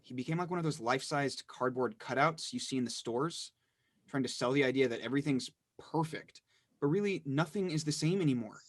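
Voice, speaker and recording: An actor playing a brooding, detached younger brother giving a dramatic monologue in a play reading. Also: male voice, mid-range voice, brisk, natural voice. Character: brooding younger brother narrating his broken family.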